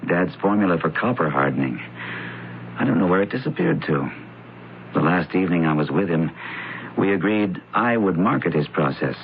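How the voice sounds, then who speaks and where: An actor playing a courteous, naive, wounded son in an old-time radio drama. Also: male voice, deep voice, lively intonation, normal speed. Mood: hurt, weary. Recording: tinny, noisy radio drama recording.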